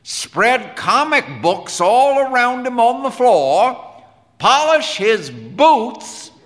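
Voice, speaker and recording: A man voicing a gruff, hard-nosed, angry father in an audiobook, with blunt, folksy, forceful talk. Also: very high voice, big pitch swings, slow, in a large hall, pitched above the performer's own voice, exaggerated voice. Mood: rueful. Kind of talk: audiobook character dialogue.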